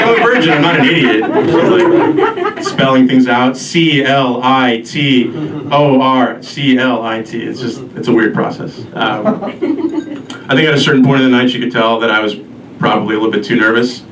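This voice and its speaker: Male stand-up comic, deadpan and self-deprecating, mid pitch, recorded at a distance in a noisy live room. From Albania. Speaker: stand-up comedian. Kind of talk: stand-up comedy set. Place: live comedy show before an audience. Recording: roomy echo, distant mic, noisy live venue.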